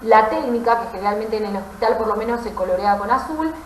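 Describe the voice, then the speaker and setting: An Argentine woman teaches as a medical lecturer in a fairly high voice. She explains step by step in a didactic way, adding short checking questions. The recording is echoey, with clear room sound around her voice. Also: lively intonation, quick pace, slight background noise. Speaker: pathology lecturer, physician.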